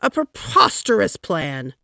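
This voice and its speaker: A woman's voice: lowish voice, big pitch swings, slow pace, gravelly, bright, forceful tone, no echo, clean studio sound, heavily acted. A woman voicing a huge, blustering, exasperated male president from a children's storybook, emphatic and bellowing.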